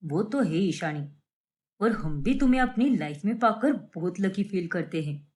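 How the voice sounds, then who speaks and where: A woman's voice: medium pitch, animated, moderate pace, a little mellow, pitched below the performer's own voice, lightly acted. A woman voicing a polite, understanding young husband and son-in-law in a cartoon story. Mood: affectionate.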